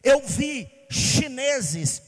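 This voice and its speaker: High-voiced emphatic preacher. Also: male voice, very animated, very slow. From Bahia, Brazil. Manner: emphatic preaching, sing-song cadence, rhetorical questions to the congregation. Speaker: pastor. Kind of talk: sermon. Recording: long hall reverb.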